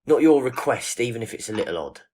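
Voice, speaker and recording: A man's voice: medium pitch, sing-song, fast, darkish tone, a little hiss or hum, lightly acted. A man playing a protective, plain-spoken friend in an audio drama, talking in a colloquial, reassuring way. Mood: concerned.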